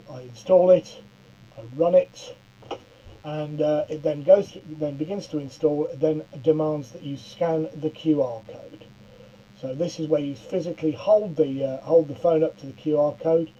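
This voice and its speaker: A middle-aged man giving an informal software demonstration in a halting way, often stammering and repeating words. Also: a little high, muffled recording. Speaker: presenter of a software tutorial.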